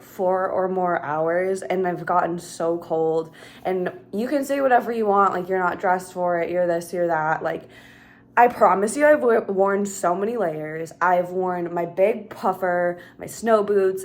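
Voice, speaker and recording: A woman, chatting casually in a rambling vlog at home, full of "like" and "um". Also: mid-range voice. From Minnesota, USA. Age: 30s. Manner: rambling, chatty, heavy use of "like". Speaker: vlogger. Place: her home.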